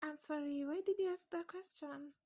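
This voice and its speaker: A high-voiced woman speaking English as a second language, her native language being Swahili. She talks haltingly and hunts for words as she explains herself on a call. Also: steady tone, darkish tone. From Swahili-speaking East Africa. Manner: hesitant, searching for words. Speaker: caller. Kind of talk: casual debate call.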